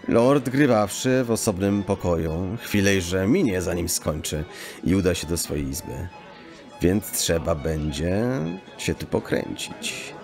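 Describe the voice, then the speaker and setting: A man playing a wry, brotherly rogue in an audio drama, with a gravelly voice. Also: deep voice, a little slow, a little mellow, faint music behind. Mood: wry, cautioning. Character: wry, brotherly male criminal companion of thief.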